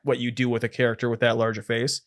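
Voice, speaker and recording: A man's voice: medium pitch, completely flat delivery, fast talker. A man co-hosting a podcast in casual, comedic film-review chat, explaining things with repetition and the odd swear word. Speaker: podcast co-host.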